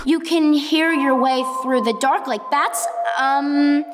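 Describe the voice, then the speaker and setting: A woman playing a proud, stubborn girl inventor in a radio play, emphatic and excitable. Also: sits high, takes it slowly. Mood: excited, proud. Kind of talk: stage-style radio play acting. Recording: reverberant room, noisy with clicks.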